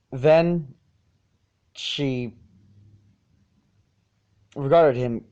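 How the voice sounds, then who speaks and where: A man's voice: medium pitch, dark tone, highs cut off. A man reading a story aloud as an amateur narrator, with occasional stumbles and repeats. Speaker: fan fiction reader.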